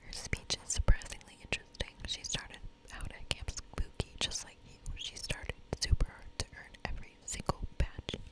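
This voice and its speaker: A woman whispering softly as an ASMR host, reading the narration of a video game aloud. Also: normal speed, hardly any pauses, low-quality recording. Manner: soft, breathy whispering.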